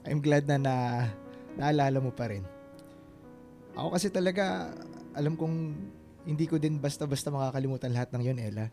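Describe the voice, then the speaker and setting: An actor with a Filipino accent playing an earnest, devoted man gently courting an old love in a radio drama, pleading and halting. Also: fairly high pitch, vocal fry. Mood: wistful. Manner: pleading, halting, stumbles over words. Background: background music.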